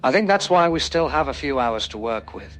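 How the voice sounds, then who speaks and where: Male medical expert played by an actor: medium pitch, cautious, sober, measured interview answers over music. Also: lively intonation, fairly bright tone, close to an everyday voice. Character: cautious, sober male doctor advising the public. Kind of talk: scripted news interview acting.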